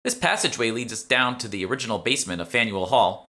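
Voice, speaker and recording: A teenage Boston shopgirl played by a man in an audiobook, guarded but knowing. The voice is lifted and bright, with a casual way of explaining things. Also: medium pitch, animated, quick pace, voice pushed up. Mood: chatty, informative. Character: teenage salesgirl, evasive then chatty, knowing alchemy shopkeeper. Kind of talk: audiobook character dialogue.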